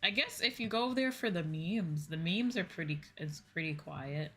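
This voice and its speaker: A woman's voice: lowish voice, lively intonation, moderate pace, a bit bright, breathy edge, soft background music. A woman streamer chatting casually and candidly to her viewers in a rambling, conversational way. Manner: conversational, candid, ranting with swearing. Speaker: streamer and VTuber host.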